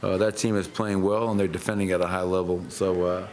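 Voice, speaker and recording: A middle-aged man, a head coach, answering questions at a post-game press conference in a measured, matter-of-fact way with frequent fillers. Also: sits low, little pitch movement, a little mellow, slight background noise. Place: press room.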